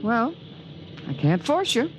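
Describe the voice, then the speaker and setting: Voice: medium pitch, big pitch swings, slow pace. An actor playing an eager, fussy, invisible alien in a radio drama, with precise, formal diction. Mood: matter-of-fact. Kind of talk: 1950s radio drama acting. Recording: vintage 1950s radio recording, faint noise.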